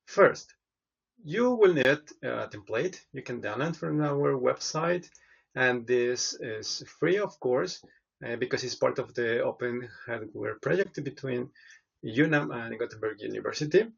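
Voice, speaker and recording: Male tutorial presenter, husky and unhurried, halting step-by-step instruction. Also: medium pitch, lively intonation, dull audio. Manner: halting, hesitant delivery with frequent pauses.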